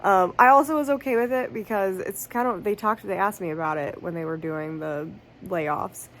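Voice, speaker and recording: A woman's voice: mid-range voice, normal speed. A woman in her thirties vlogging about books in a rambling, casual way, tired and congested, recorded as a lo-fi phone clip. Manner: rambling, self-correcting, fillers like "like". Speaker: book vlogger. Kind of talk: casual chatty vlog. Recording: faint background noise, lo-fi phone clip.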